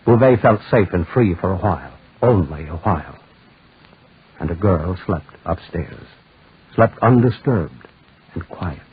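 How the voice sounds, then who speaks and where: Deep, ominous horror narrator, tinny recording. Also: male voice, animated, a little slow. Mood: hushed, foreboding. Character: ominous male storyteller of gothic horror. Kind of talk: dramatic 1940s radio narration. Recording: noisy, tinny 1940s radio recording.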